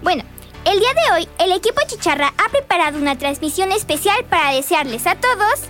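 A woman's voice: extremely high pitch, sing-song, a little fast, fairly bright tone, soft background music. A teenage girl, chatting on a school radio show and giggling often. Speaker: student radio co-host. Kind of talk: casual radio chat.

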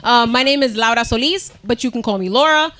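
A woman's voice: on the high side, a little fast, background noise. Confident woman from the South Bronx. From South Bronx, New York. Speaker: bike mechanic, panelist.

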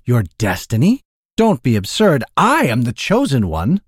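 A man's voice: fairly low pitch, normal speed, darkish tone, no echo, clean studio sound, close to an everyday voice. A man voicing a vain, bombastic knight with grand, old-fashioned heroic speech in a fantasy story. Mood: indignant, shrieking. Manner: pompous, archaic grand speech.

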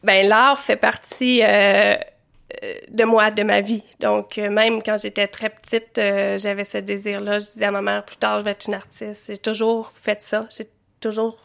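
A woman's voice: mid-range voice, little pitch movement, normal speed, vocal fry, fairly bright tone. A woman in her thirties with a Quebec French accent, recounting her life in an interview with many hesitations. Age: 30s. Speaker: interviewee, visual artist. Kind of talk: interview storytelling.

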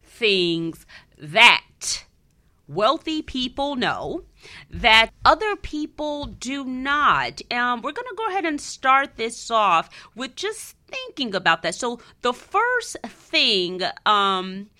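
A woman's voice: a little high, sing-song, slow, bright, forceful tone. A woman hosting a radio talk show, introducing the discussion in a conversational style.

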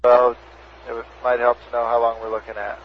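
A man asks questions as an airline pilot in a flat, medium-pitched voice. His radio transmission is muffled. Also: brisk. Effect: aviation radio. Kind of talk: questions over aviation radio. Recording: muffled radio, faint static.

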